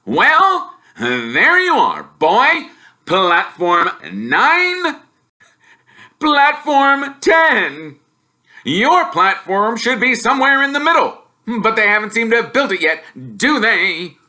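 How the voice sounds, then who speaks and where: A man voicing a gruff, bad-tempered middle-aged uncle in a storybook, with a growling, scornful, forceful delivery. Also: very high voice, sing-song, slow, voice pushed up, heavily acted. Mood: gloating, nasty. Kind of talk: storybook character voice.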